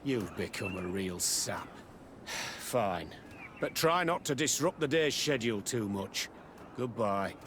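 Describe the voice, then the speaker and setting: An actor playing a curt, evasive military captain who runs a training camp in a video game. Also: male voice, on the low side, lively intonation, slow pace, fairly bright tone, a little hiss or hum. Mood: dismissive. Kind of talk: video game cutscene acting.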